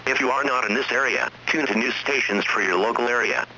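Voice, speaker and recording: A man's voice: mid-range voice, a little fast, vocal fry, pushed and bright. A man reading a scripted emergency alert in a formal, impersonal manner. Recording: noisy radio-style emergency broadcast.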